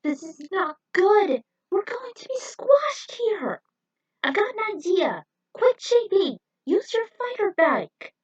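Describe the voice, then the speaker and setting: A woman voicing excitable young adventure heroes in a fan story, urgent and exclamatory, recorded lo-fi. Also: extremely high pitch, slow, distant mic, pitched above the performer's own voice, heavily acted. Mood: alarmed, urgent. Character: excitable young hero troopers in a battle adventure. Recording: dull lo-fi home recording.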